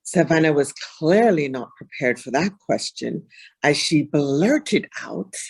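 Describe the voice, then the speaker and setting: Husky, animated woman storyteller. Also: low voice, takes it slowly. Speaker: children's storyteller. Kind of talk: expressive storybook read-aloud.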